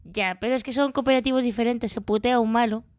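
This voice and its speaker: Playful Spanish woman with a higher voice. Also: fairly even intonation, quick pace, darkish tone, no echo, studio quality. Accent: Spanish from Spain. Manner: playful and teasing, laughs between lines. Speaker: podcast co-host. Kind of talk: casual board game news chat.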